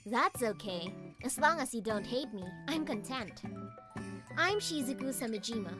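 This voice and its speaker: Woman as a high, brash anime gang girl. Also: lively intonation, normal speed, music in the background, close to an everyday voice. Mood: smitten, confident. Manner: forward, self-assured. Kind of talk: manga dub character acting.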